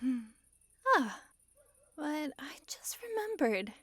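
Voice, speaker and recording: A woman's voice: high-pitched, sing-song, slow pace, dry recording, full character voice. A woman playing a possessive, lovestruck fox-girl mage in an intimate ASMR roleplay, sweetly controlling and giggly. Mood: tender, obsessive. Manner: sweetly controlling, giggly, swings between gushing and hushed coaxing. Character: possessive, lovestruck young-sounding fox-girl mage, dominant yet giddy.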